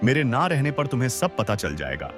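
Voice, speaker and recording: An old village father played by a man in a cartoon moral tale, with lively, swinging intonation. He sounds worried and disappointed with life, and clear music plays behind him. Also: mid-range voice, a little fast. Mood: patient. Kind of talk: Hindi cartoon moral story dialogue.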